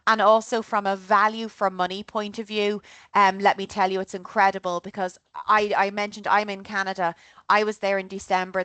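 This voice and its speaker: A woman's voice: mid-range voice, fairly bright tone, smooth, no room sound. Chatty Irish woman briefing travelers. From Ireland. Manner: chatty and upbeat, often checks in with "okay?". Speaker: travel company presenter. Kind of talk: online group trip briefing.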